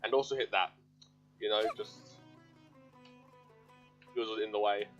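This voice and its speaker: A man's voice: fairly low pitch, steady tone, moderate pace, a bit bright, faint music behind. A man livestreaming a game, giving casual commentary as he plays. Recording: dull lo-fi mic.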